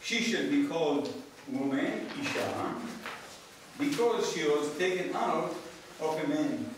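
A man in his sixties with an Israeli accent, a native Hebrew speaker, giving a public lecture as a professor with wry, deadpan asides. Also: fairly high pitch, slow pace, distant mic. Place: small lecture room with seated audience, indoors. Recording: echoey room from afar, noisy background.

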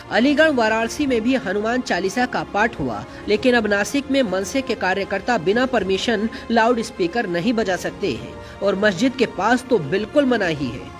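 A woman reading a scripted news voice-over as a narrator. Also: medium pitch. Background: faint music.

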